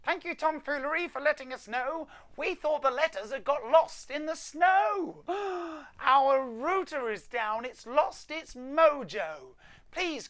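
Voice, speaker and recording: A storyteller voicing an earnest Christmas elf from a children's storybook, in an urgent, emphatic manner. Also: fairly bright tone. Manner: high-pitched, urgent, emphatic.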